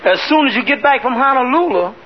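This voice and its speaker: A man's voice: very high voice, animated, fast. An actor playing a sly, wisecracking male sidekick who needles his boss in a 1950s radio comedy. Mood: needling. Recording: very noisy, muffled old radio recording.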